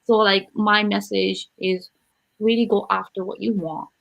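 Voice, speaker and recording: A woman's voice: mid-range voice, little pitch movement, a little slow, vocal fry, lo-fi sound. A woman from Japan, speaking English as a second language, hosting a podcast interview in an earnest, emphatic way. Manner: earnest, emphatic, repeats points for stress. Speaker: podcast host. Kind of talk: conversational interview.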